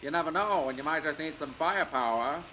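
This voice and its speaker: An actor playing an excitable, slangy, wisecracking jailed pilot with a sharp, rough-edged voice in old-time radio drama. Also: male voice, a little high, fast, slight background noise. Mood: wry, impatient.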